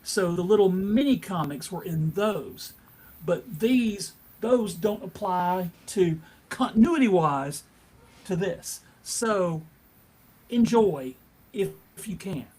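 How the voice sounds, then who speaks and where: Husky, halting man co-hosting a panel. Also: sits high, a little mellow. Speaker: co-host, convention track director. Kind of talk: casual panel chat.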